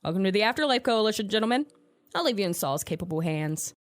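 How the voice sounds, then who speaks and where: A woman's voice: medium pitch, lively intonation, fast talker, no room sound, clean studio sound, natural voice. A woman playing a resolute, persuasive resistance leader in a post-apocalyptic audio drama, composed and commanding. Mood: composed, welcoming.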